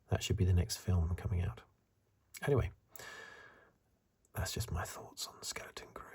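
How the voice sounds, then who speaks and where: Soft, breathy, low-voiced ASMR man. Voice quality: soft, breathy, husky, partly whispered. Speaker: host of an ASMR review channel. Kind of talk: soft-spoken ASMR commentary with whispering.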